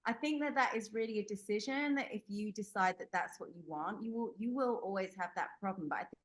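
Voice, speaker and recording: A woman's voice: mid-range voice, brisk. A young adult woman from the United Kingdom, a guest telling a personal story in an interview, candid and rambling with many fillers and restarts. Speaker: podcast guest.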